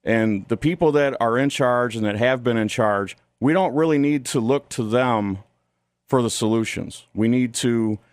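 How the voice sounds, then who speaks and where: A man's voice: mid-range voice, normal speed, no echo. An American man from Ohio speaking as a studio guest in a political radio interview, earnest and critical. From Toledo, Ohio, United States.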